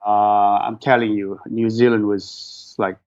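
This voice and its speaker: A man in his forties, from Indonesia, speaking as an interview guest. He talks at an unhurried pace with lots of ums and false starts. The recording sounds dull and lo-fi. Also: lowish voice, level tone, darkish tone. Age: 40s. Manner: hesitant, restarts with fillers and laughs. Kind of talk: casual interview chat.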